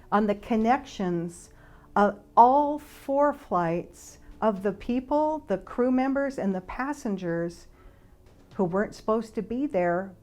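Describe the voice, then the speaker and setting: A woman giving an informal explanatory monologue in a conversational, speculative way, halting with pauses. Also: medium pitch, darkish tone. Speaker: speaker, flight attendant and author.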